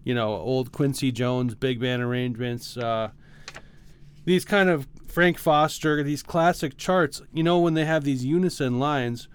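A man's voice: mid-range voice, unhurried, clean tone. A man co-hosting a podcast, casually explaining things in a patient, teacherly way with examples. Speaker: podcast co-host, music arranger and teacher.

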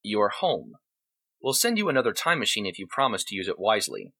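A man's voice: on the low side, big pitch swings, fairly quick, pushed and bright, husky, heavily acted. A man voicing a huge, aggravated male tiger with a dry, grumbling manner in a story reading.

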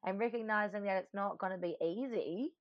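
A woman's voice: mid-range voice, a little fast, no echo. Woman host, playful and encouraging, dry and somewhat muffled sound. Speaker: podcast host. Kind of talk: casual podcast chat.

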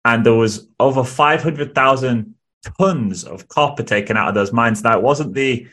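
A man's voice: medium pitch, moderate pace, fairly bright tone. A man hosting a podcast, building a point steadily with questions. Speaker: podcast host. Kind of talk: casual podcast conversation.

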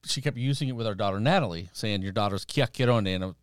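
A man's voice: on the low side, quick pace, clean tone, no echo, studio quality. A middle-aged man in an interview, telling personal stories in a conversational way and acting out bits of dialogue. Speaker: missionary guest.

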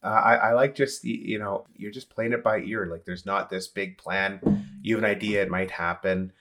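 A man's voice: fairly low pitch, fairly even intonation, moderate pace, fairly bright tone. A man hosting an interview in a casual, conversational style. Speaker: interview host.

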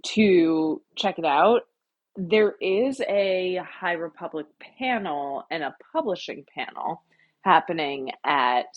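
Woman, podcast co-host, slightly low husky voice, casual explanatory talk, dry close sound. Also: no room sound, highs cut off.

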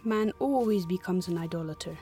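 A man's voice: high-pitched, brisk, dark tone, soft background music, voice pushed up. A man voicing a stern, devout woman who speaks solemnly about evil and idolatry. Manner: solemn, preachy.

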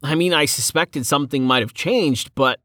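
A woman's voice: low-pitched, moderate pace, fairly bright tone, no echo, studio quality, voice pushed up. A woman voicing an earnest, mild-mannered man in an audiobook, cautious and questioning. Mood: tentative.

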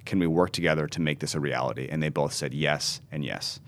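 A man's voice: very deep voice, a little fast. A man in his thirties, a pastor, telling his story earnestly in an interview and often restarting his words. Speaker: pastor and ministry founder.

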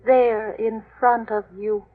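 An actress playing a woman's ghost in a 1940s radio horror play, calling in an eerie drawn-out monotone. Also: on the high side. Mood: eerie, tender. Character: ghost of a murdered woman, calling to her beloved. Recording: narrow, noisy old radio recording.

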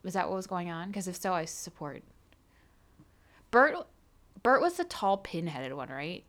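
A woman's voice: on the low side, big pitch swings, unhurried, fairly bright tone. A woman co-hosting a podcast in casual chat, teasing and joking. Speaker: podcast co-host.

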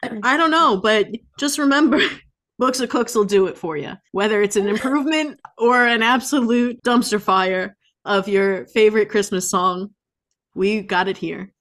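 A woman's voice: medium pitch, a little slow, a bit bright. A woman co-hosting a podcast in casual comic banter, giggly and playful with an exaggerated delivery. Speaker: podcast co-host.

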